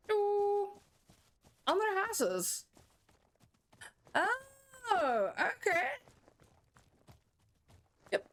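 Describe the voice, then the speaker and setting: A young Dutch woman with a Dutch accent, chatting with viewers while streaming a game. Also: extremely high pitch. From Netherlands. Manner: chatty, answers viewers, bursts of laughter. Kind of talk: live-streamed gameplay chat.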